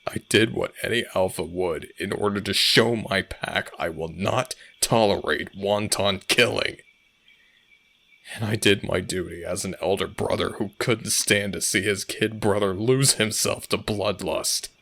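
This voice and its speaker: A man's voice: lowish voice, unhurried, creaky, sharp tone, heavily acted. A man playing a smug, teasing alpha werewolf pack leader and older brother in an audio roleplay drama. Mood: somber, resolute.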